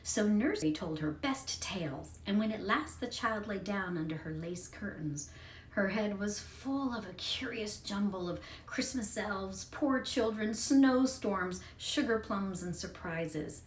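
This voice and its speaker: A woman reading a scripted story aloud as narrator, with expressive storytelling. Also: mid-range voice, measured pace. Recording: echoey room, distant mic, faint noise.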